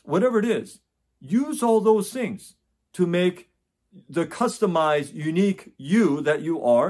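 A man's voice: high-pitched, a little mellow, slightly rough. A man in his forties from the United States, giving earnest advice straight to camera from a home office, often restarting phrases and checking in with "okay?". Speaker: test prep tutor. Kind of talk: direct-to-camera advice talk. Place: home office with framed certificates.

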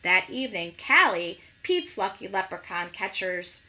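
A middle-aged woman reading a picture book expressively to young children, pausing to ask them questions. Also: medium pitch, sing-song, bright, forceful tone. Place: small living room at home, indoors.

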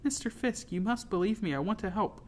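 A man's voice: high voice, lively intonation, fairly quick, darkish tone, pitched above the performer's own voice. A man voicing a timid, earnest young lady passenger in an audiobook, small-voiced and pleading. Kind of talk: audiobook character acting.

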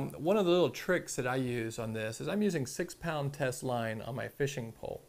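A man, calmly explaining fishing tips step by step at a table indoors, with plenty of ums. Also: medium pitch, gravelly. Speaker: fishing channel host. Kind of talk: casual instructional explanation to camera. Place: small indoor room at a table.

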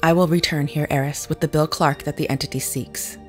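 An actress playing a composed, cutting, otherworldly woman in an audio drama, with measured and formal phrasing. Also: low-pitched, fairly even intonation, soft background music, lightly acted. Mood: solemn, composed.

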